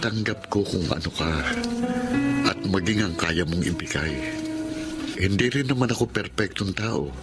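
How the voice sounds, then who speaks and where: A man's voice: low-pitched, moderate pace, pauses often, over loud music, natural voice. A man with a Filipino accent playing an earnest, devoted suitor in a radio drama, speaking sincerely and pleadingly. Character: earnest, devoted male suitor and narrator of his story.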